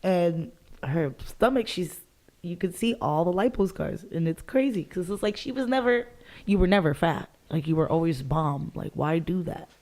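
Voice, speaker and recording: A young woman co-hosting a casual podcast chat, blunt, profane and quick to laugh. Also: fairly low pitch, lively intonation, a little mellow. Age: young adult. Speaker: podcast co-host.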